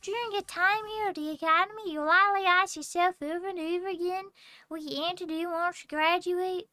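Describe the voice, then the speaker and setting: A woman's voice: very high voice, steady tone, a little fast, pushed and bright, no room sound. Woman doing a mock-Scottish magic teacher. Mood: lecturing. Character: stern female magic teacher, formal. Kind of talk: reading a visual novel aloud.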